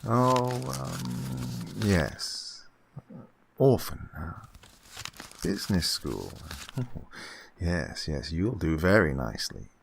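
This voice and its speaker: An actor playing an unctuous, scheming gentleman employer who feigns kindly absent-mindedness, fussy and repetitive, in an old-time radio horror drama style. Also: sits low, sing-song, very slow, gravelly, pauses often, a little hiss or hum, heavily acted. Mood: oily, genial. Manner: fussy, hesitant, repeats himself, chuckling.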